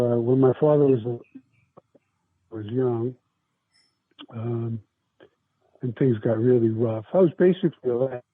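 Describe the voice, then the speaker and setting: A man, telling his life story in a rambling, halting way. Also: mid-range voice, dry recording. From South Brooklyn, New York. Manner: rambling, halting, many restarts and fillers. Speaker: interview guest. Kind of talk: casual life-story interview. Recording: tinny phone-like dry sound.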